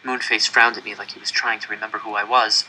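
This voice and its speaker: A man's voice: lowish voice, flat delivery, fast, pushed and bright, slight background noise, rough recording. A man reading a first-person story aloud in an earnest, suspenseful narrating manner. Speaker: audiobook-style reader.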